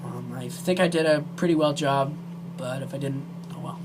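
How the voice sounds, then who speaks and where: Amateur vlogger, mid-pitched voice, heartfelt and halting delivery, clear noise on a homemade recording. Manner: earnest, emotional, hesitant. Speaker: amateur video creator. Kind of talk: earnest direct-to-viewer vlog talk.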